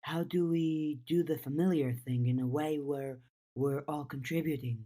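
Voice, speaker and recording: A gentle, thoughtful teenage girl from an audiobook, read by a woman. She is soft-spoken and takes her lines slowly. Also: sits low, fairly even intonation. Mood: curious. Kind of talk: audiobook character dialogue.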